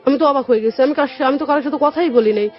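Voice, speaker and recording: A woman's voice: high voice, racing. A young woman with a Bangladeshi Bengali accent telling her life story in a colloquial way as a guest in a radio interview, often retelling conversations as dialogue. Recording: dull lo-fi radio audio. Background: music.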